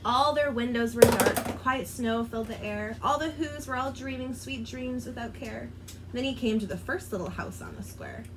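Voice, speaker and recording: A woman reading a children's rhyming book aloud, in an expressive, sing-song delivery. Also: fairly high pitch, normal speed, background noise. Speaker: storyteller.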